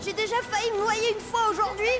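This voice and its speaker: Young boy hero, cartoon dub, very high pitch, hesitant, music behind. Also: steady tone, quick pace. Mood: indignant reluctance. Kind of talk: French animated series dubbing. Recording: music under the voice.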